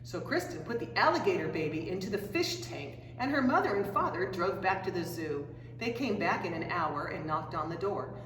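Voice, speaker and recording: A woman reading a children's storybook aloud in an expressive, dramatic way, drawing out key phrases. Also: lowish voice, moderate pace, hardly any pauses. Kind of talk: animated read-aloud storytelling. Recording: roomy echoing hall, distant mic, faint noise.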